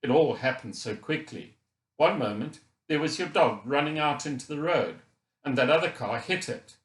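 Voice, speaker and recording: A man's voice: mid-range voice, expressive, measured pace, fairly bright tone. Man acting a middle-aged Scottish father, apologetic, unsteady voice, remorseful, audiobook dialogue, roomy sound. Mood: remorseful, upset. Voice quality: wobbly, unsteady. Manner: earnest, apologetic. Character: guilt-ridden, kind Scottish father, remorseful driver.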